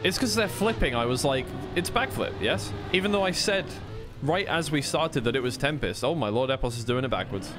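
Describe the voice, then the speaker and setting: A man's voice: mid-range voice, lively intonation, fairly quick, music in the background. A man giving live esports racing commentary in a conversational way, often second-guessing himself. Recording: clear background noise of vehicle sounds.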